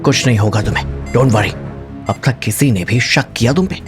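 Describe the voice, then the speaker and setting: A man's voice: medium pitch, normal speed, over music, natural voice. A man acting a cold, controlling killer and lover in an audio drama, coolly intimate and clipped. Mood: tense, controlling. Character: cold, menacing male killer, smooth-talking, impatient lover.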